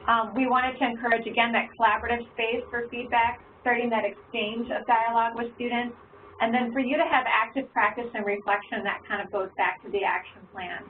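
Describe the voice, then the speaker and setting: A woman's voice: on the high side, steady tone, brisk. A woman facilitating an online workshop in a conversational way, with many fillers. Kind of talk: online professional development session. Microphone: video call. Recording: tinny, phone-like call audio with faint noise.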